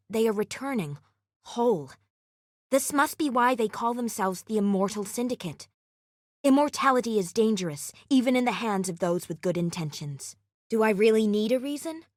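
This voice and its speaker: A woman's voice: mid-range voice, dry recording, studio quality, natural voice. Woman acting a solemn, secretive guardian in a game. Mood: troubled. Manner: measured, formal, grave delivery without casual contractions. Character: solemn, secretive female guardian hunting an immortal crime syndicate. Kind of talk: scripted video game character acting.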